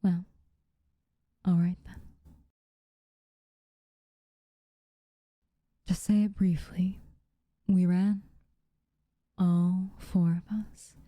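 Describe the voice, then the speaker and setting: A haughty tsundere witch acted by a woman speaks in a very mellow, dark tone, breathy and often near a whisper. Her phrasing is formal and unhurried, guarded on the surface with tenderness underneath. The sound is close and dry, as in an ASMR roleplay. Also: lowish voice, little pitch movement, no echo. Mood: wry, resolute. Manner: slow, formal, measured wording with reluctant warmth. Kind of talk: ASMR roleplay, softly spoken to the listener.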